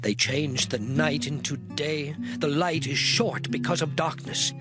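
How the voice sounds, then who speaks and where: A man's voice: a little high, close to an everyday voice. An actor playing an anguished, suffering man lamenting in a dramatized Bible audio drama, declaiming mournfully in old King James English. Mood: despairing, mournful. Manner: declamatory, mournful, sweeping delivery with long pauses. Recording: music behind the voice. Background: dramatic music.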